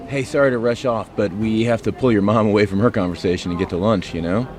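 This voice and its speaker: A man's voice: on the low side, fairly even intonation, fast talker, natural voice. A man playing a friendly, apologetic middle-aged dad in an audio drama, chatty in manner.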